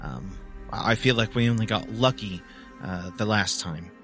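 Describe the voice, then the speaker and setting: A man playing a tabletop game, talking hesitantly and thinking aloud in halting phrases. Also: lowish voice, fairly bright tone, faint music behind. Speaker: player. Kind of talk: casual tabletop roleplay.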